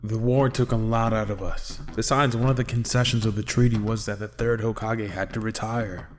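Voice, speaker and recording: A man playing a calm young husband and ninja advisor in a fan-made anime audio drama, gentle and level-headed. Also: on the low side, moderate pace, close to an everyday voice. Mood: thoughtful.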